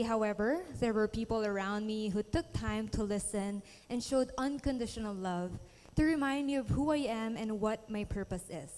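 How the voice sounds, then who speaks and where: A woman's voice: a little high, darkish tone, long reverb tail. A young woman giving an earnest prepared speech as a pageant contestant, speaking straight to the audience.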